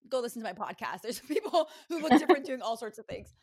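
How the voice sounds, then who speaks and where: Brief: woman podcast host, fast conversational questions, easygoing. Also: on the high side, breathy edge. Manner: quick, lively questions with laughs. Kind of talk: casual interview conversation.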